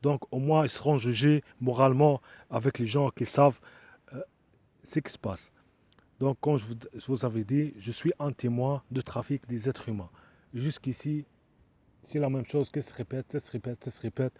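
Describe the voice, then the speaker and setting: Man in a direct-to-camera appeal, insistent but halting, warm low timbre. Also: husky. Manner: hesitant, halting, many fillers and restarts; earnest and insistent. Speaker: asylum seeker making an appeal video.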